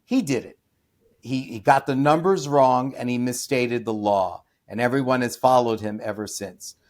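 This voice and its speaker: Emphatic man trading hesitant fillers for loud outbursts. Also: mid-range voice, moderate pace. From United States. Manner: emphatic, many restarts and fillers, rising to loud scornful outbursts. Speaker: podcast guest, author. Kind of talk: opinionated interview talk.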